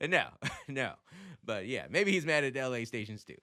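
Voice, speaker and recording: Bright-voiced man in casual, animated commentary. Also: medium pitch. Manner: animated, chuckling, slangy. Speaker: radio programmer and music commentator. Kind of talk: casual solo commentary on music industry.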